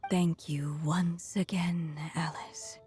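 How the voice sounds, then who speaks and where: Solemn motherly game character, low voice, unhurried, soft, tender, measured. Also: steady tone. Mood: fading, tender. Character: gentle, solemn motherly storyteller witch. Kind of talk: animated game voice acting.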